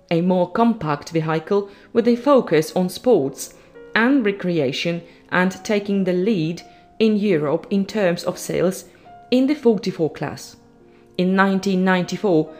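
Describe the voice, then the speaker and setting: Female voice-over narrator, lowish pitch, steady scripted read, soft music under.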